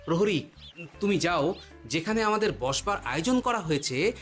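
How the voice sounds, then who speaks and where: Male quick-witted cartoon trickster, very high voice, deliberate cautioning delivery over loud music. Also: expressive. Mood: instructive. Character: clever, commanding male village jester guiding a treasure hunt. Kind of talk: Bengali cartoon voice acting.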